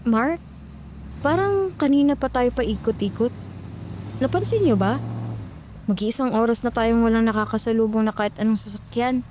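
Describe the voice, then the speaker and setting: A woman's voice: fairly high pitch, moderate pace, long pauses, mellow, lightly acted. A watchful, worried young woman, played by a woman, speaking in a raised voice. Light noise and night insects are heard behind her in this horror audio story. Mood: uneasy. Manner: worried, noticing.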